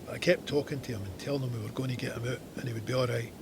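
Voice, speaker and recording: An actor playing a stoic Scottish First World War soldier, narrating his memoir in a measured, matter-of-fact way. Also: medium pitch, background noise, close to an everyday voice. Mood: sombre, matter-of-fact. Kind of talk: scripted memoir narration in an audio drama.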